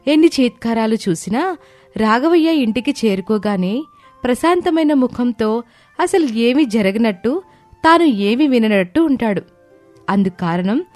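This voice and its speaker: A woman's voice: fairly high pitch, darkish tone. A woman giving scripted narration of a moral tale as storyteller.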